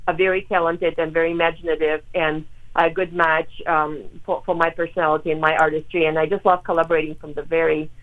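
A woman's voice: low-pitched, level tone, quick pace. A woman from Ukraine, a pianist, talking about her work in a phone interview, earnest and full of ums and uhs. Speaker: pianist guest. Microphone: telephone. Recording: tinny phone line.

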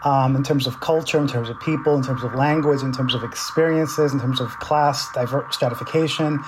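A middle-aged man from the Dominican Republic with a New York accent, telling his own story in an interview, halting and restarting as he searches for words. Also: medium pitch, steady tone, noisy background. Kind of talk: reflective personal storytelling.

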